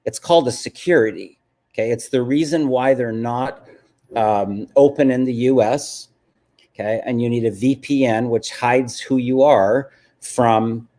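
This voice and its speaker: A man's voice: mid-range voice, a little slow, dark tone. Emphatic man hosting a live stream. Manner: emphatic, repeats "okay?" to check in with viewers. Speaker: host of a live business stream. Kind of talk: live stream chat answering viewer comments.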